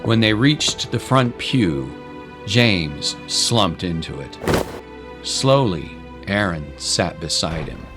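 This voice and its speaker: A man narrating a scripted dramatic story in a solemn, deliberate way. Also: low voice, sing-song. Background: clear music.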